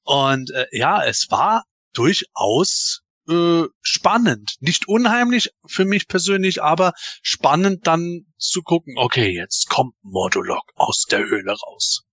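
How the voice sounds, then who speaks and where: A man's voice: a little high, very animated, slow, few pauses, a bit bright, slightly rough, no room sound. A man chatting as a podcast panelist in a casual fan discussion.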